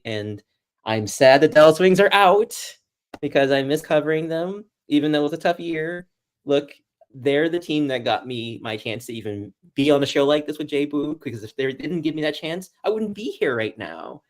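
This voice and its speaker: An American man from Boston talks as a guest sports journalist on a casual livestream. His voice sits at an average pitch. He is chatty and self-deprecating as he addresses the viewers. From Boston, United States.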